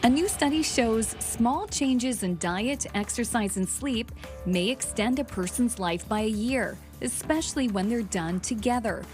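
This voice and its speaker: Female health reporter, higher voice, measured script over music bed. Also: lively intonation. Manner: crisp, measured. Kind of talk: scripted news reading.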